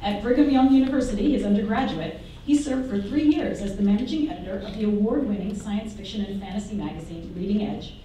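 A woman moderator introduces the next speaker with a light, joking touch. Her tone is soft and mellow. She is heard from across an echoey classroom. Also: medium pitch, fast talker, hardly any pauses, far from the mic, slight background noise. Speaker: panel moderator. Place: university classroom.

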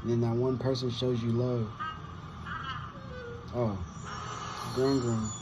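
A man's voice: medium pitch, level tone, slow pace, very noisy. A man, chatting casually to camera in a rambling, slangy way with frequent swearing. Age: 20s. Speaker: reaction video host. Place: small room with wooden cabinets indoors. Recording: dull lo-fi sound, music behind.

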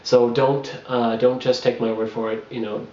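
A man's voice: mid-range voice, flat delivery, moderate pace, dark tone, echoey room. A young man in his twenties chats advice to camera, full of restarts and filler words. His mid-range voice bounces around a small bare room. The camera audio is dull and lo-fi. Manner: rambling, frequent fillers and restarts, laughs between points. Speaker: travel blogger. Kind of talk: casual advice vlog. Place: small bedroom with bare wall. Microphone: camera on a makeshift tripod.

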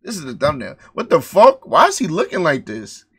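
A young male streamer with a high, bright voice swoops up and down as he teases friends and shouts to his chat. He is loud and crude, and he jokes constantly. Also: huge pitch swings, brisk, breathy edge. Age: young adult. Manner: loud, teasing, swears a lot, talks to chat. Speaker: livestreamer. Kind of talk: casual livestream banter.

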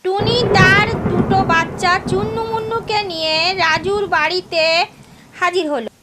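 A woman's voice: very high-pitched, normal speed, heavy background noise. A woman reading scripted narration for a cartoon story.

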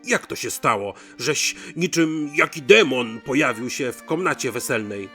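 A man's voice: medium pitch, animated, measured pace, faint music behind. A man voicing an angry, commanding Polish nobleman who barks orders imperiously in a storybook tale. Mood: demanding, angry. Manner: imperious, barking orders, shouting.

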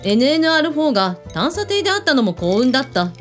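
A woman's voice: high-pitched, very animated, fast talker, soft background music. An actress in a radio drama playing a breezy, blunt female hotel owner who speaks with authority. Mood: explanatory, confident.

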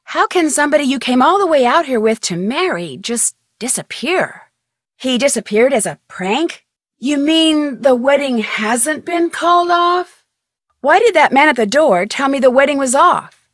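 Young female sleuth for adventure game: inquisitive, polite, slightly high voice, dry studio sound. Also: animated, unhurried, fairly bright tone, dry recording. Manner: inquisitive, clear-spoken questioning. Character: curious, polite young female amateur detective. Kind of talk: video game dialogue.

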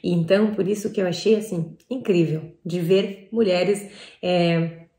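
A woman's voice: on the low side, steady tone, measured pace, creaky, mellow. Woman vlogger in an echoey room. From Paris, France. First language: French. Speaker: vlog host. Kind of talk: casual talk to camera. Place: small bright room indoors.

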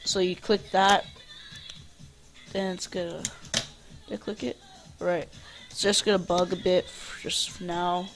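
A gaming tutorial host with a mid-pitched voice takes it slowly. The delivery is informal and rambling, like casual step-by-step help. Music plays faintly behind the voice. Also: level tone. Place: indoors at a computer.

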